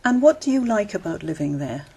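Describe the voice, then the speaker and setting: Woman examiner, measured scripted prompts, expressive melodic delivery. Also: medium pitch. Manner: measured, clear prompting with brief acknowledgements. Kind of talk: scripted exam questions.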